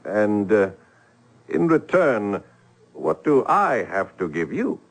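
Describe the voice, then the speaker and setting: A husky, eager dreamer. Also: male voice, lowish voice, big pitch swings, takes it slowly, full character voice. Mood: eager, dazzled. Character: eager, dazzled man tempted by a bargain. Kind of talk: radio drama acting.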